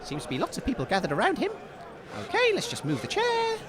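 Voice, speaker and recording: A man's voice: very high voice, wildly swooping pitch, fast talker, full character voice. A man playing a hapless, eager radio presenter in a comedy, polite, hesitant and easily flustered. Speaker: radio host. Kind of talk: comedy roving-reporter interviews. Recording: outdoor crowd noise.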